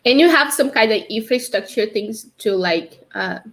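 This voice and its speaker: A woman's voice: fairly high pitch, animated, normal speed, a bit bright. A young woman from Brazil with a Brazilian Portuguese accent, chatting casually as a guest on a livestream interview over a video call, laughing often. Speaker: guest, developer advocate.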